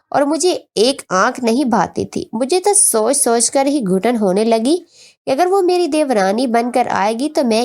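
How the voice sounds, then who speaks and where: High-pitched woman narrating a story. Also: darkish tone. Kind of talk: scripted first-person narration of an emotional family story.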